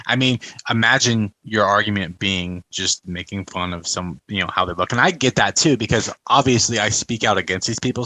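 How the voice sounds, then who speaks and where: A man hosts a casual talk over a video call, pressing his points in an emphatic, outspoken way. His voice is bright and forceful and sits a little low. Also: no echo, poor audio. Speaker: host.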